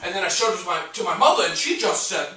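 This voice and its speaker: A brash, theatrical middle-aged male comedian-painter holds forth in a hammy monologue. His voice is high and bright, lurching from stammered muttering to bellowing. It is picked up from a distance in an echoey room. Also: expressive, fast. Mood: nostalgic storytelling. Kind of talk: one-man dramatic monologue on stage.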